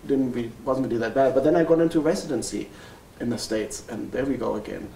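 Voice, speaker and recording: A man's voice: medium pitch, quick pace, creaky, dark tone. A man in his forties with a German accent, a family doctor telling his story in an interview, earnest and full of ums and restarts. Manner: earnest, many ums and self-corrections. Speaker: family physician. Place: wood-paneled room indoors. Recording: roomy, slightly distant, faint hiss.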